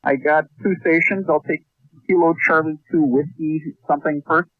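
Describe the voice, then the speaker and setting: Tinny dry radio signal, american guy mid pitch doing contest call signs. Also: steady tone, moderate pace, no echo. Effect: ham radio transmission. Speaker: amateur radio contest operator. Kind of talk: formulaic contest exchanges in phonetics. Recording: tinny narrow phone-like shortwave signal.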